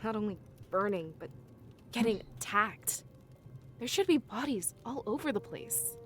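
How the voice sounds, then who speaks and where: A woman's voice: medium pitch, expressive, a little slow, fairly bright tone, soft background music. A woman playing a doubtful, urgent female adventurer in a fantasy video game. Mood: disturbed.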